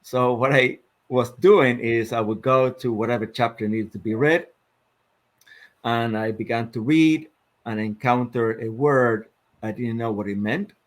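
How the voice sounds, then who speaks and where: A man in his fifties from Argentina with an Argentine Spanish accent, a guest telling his life story in a reflective, measured way with many fillers. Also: medium pitch. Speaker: guest, sports psychologist. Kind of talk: interview storytelling.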